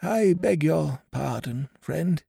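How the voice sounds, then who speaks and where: A man voicing a courteous, formal old gentleman who is hard of hearing, in a storybook reading. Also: a little high, animated, creaky, slightly rough, dry recording, studio quality, voice pushed up, heavily acted. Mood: polite. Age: older. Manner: polite, formal and measured.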